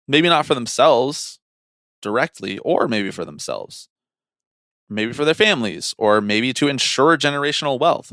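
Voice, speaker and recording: A man's voice: mid-range voice, fairly bright tone, no echo. Young man talking sports, dry close sound. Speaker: podcast co-host. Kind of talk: casual sports discussion.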